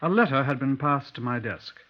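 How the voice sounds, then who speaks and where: A British man playing a composed, probing police inspector in a 1950s radio drama, speaking formally and with measured care. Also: mid-range voice, a little hiss or hum, natural voice. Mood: grave, reflective. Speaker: actor in a radio crime drama. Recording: dull, muffled 1950s radio recording.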